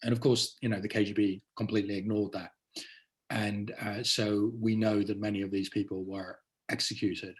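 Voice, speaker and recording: A man's voice: lowish voice, level tone, moderate pace. A male author giving a talk about his book in an anecdotal, explanatory style. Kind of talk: presenting to an audience, anecdotal.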